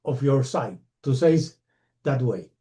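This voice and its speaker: A man who is not an English speaker asks interview questions in a halting way, repeating words. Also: mid-range voice, lively intonation, warm tone.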